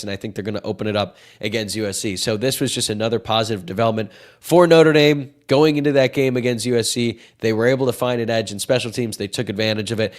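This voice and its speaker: A young man with a stuffy, cold-blocked nose talks in an easy, chatty way as a sports podcast host. He answers viewers' questions with firm opinions and quick side remarks. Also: medium pitch.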